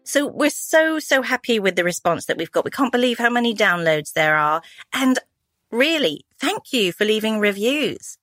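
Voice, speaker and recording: A woman in her fifties co-hosting a relaxed podcast chat, talking freely and laughing easily. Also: on the high side, normal speed, dry recording, clean studio sound, faint music behind. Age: 50s. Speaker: podcast co-host. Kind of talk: casual chat. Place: home living room.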